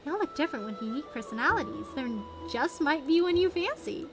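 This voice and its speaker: Woman playing a gentle, high-voiced fantasy scholar. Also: sing-song, fast. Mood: inviting. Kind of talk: reading game dialogue aloud. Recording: game music behind the voice.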